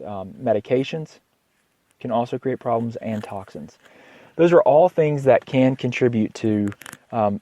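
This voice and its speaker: Slightly hoarse male doctor, dull mic. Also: medium pitch, mellow, no echo. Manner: halting, repeats words, frequent throat clearing. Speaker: podcast host, doctor. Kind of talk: informal educational health monologue.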